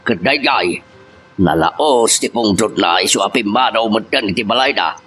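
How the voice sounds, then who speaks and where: A man's voice: lowish voice, animated, moderate pace, fairly bright tone, soft background music. A man with an Ilocano accent playing an indignant, stubborn older husband and father in a family drama. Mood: grave. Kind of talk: radio-style Ilocano family love drama acting. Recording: clear background noise.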